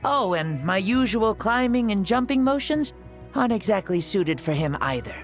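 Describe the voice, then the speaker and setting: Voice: medium pitch, animated, normal speed, soft background music, natural voice. An actor playing a spirited ancient hero living in a borrowed young body, with a playful, theatrical way of talking in a video game. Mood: playful, rueful. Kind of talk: scripted video game dialogue.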